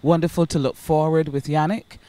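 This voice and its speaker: A co-host, chatting in an upbeat way to sign off a show. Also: male voice, a little high, steady tone, brisk, mellow, no room sound. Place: outdoors at night. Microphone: handheld microphone.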